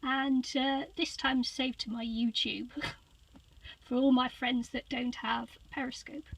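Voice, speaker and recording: Squeaky, slow-talking older woman. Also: little pitch movement, gravelly, low-quality recording. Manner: rambling, hesitant with many fillers, giggly. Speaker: crafter giving a room tour. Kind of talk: casual live-stream tour. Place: small cabin of a sailboat used as a craft room. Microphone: handheld phone.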